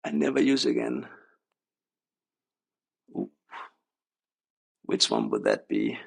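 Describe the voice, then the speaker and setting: A man's voice: very deep voice, huge pitch swings, slow pace, vocal fry, slightly rough. A man with a Danish accent, a native Danish speaker, chatting casually as an interview guest. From Denmark. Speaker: podcast guest, startup co-founder.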